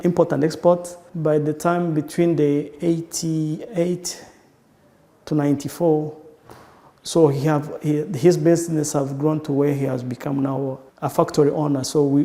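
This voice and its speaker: A man with a Rwandan accent recalling his family history in an interview, speaking haltingly and often restarting his sentences. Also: a little high, mellow, in a large hall. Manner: halting, searching for words with frequent restarts. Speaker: entrepreneur. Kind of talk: personal recollection in an interview.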